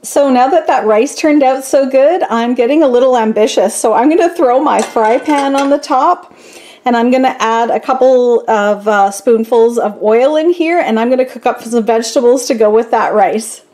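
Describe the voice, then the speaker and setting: A woman's voice: a little high, moderate pace, mellow. A woman chatting through a cooking demonstration in her home kitchen, upbeat and thinking aloud with frequent ums.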